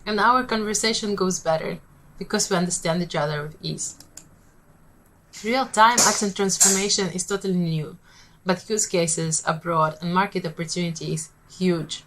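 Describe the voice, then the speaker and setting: Founder, female, Polish accent, lowish voice, measured rehearsed pitch delivery. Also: a bit bright, rough recording.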